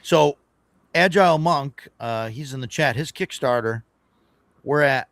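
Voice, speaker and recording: A man in a relaxed podcast conversation, recorded dry and close with no room sound. He nods along with lots of "yeah" and fillers, and his voice sounds a little worn. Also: medium pitch, measured pace, dry recording. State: voice still recovering, slightly worn. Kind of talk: casual podcast chat.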